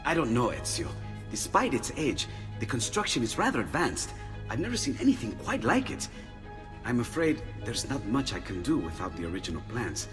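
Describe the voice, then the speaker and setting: An actor playing an excitable, friendly inventor in a video game, animated and courteous, with an Italian accent. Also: male voice, medium pitch, normal speed, over music, natural voice. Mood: fascinated. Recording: long hall reverb.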